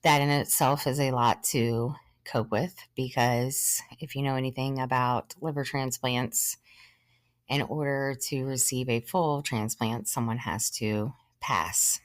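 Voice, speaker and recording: Earnest woman in her thirties, very deep voice. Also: fairly even intonation, no echo, studio quality. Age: 30s. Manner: earnest, measured, with pauses. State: short of breath at times. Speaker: podcast host. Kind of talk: personal testimony monologue.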